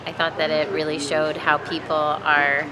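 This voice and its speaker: A woman's voice: deep voice, completely flat delivery, normal speed, noisy background. A woman audience member giving a casual testimonial.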